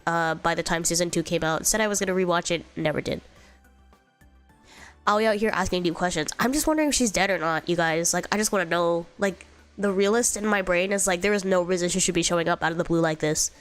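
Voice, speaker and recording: Stuffy-nosed young woman on stream, rambly and giggly, lowish voice, quiet music behind. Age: young adult. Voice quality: slightly congested voice. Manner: rambling, giggly, lots of fillers and restarts. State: congested, sick with a cough. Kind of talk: casual chat reading viewer comments.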